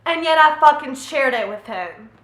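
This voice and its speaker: Teenage American female vlogger, slangy and self-mocking, higher-pitched voice, casual storytime in a roomy echo. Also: expressive, normal speed, a bit bright. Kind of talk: casual storytime, reading out messages.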